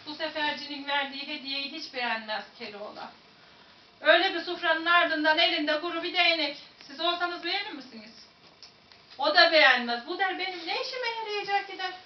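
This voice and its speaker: A woman with a Central Anatolian Turkish accent telling a folk tale in a lively, dramatic way, speaking to her listeners in a museum room. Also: high voice, normal speed. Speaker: storyteller. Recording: echoey room, distant, dull, faint noise.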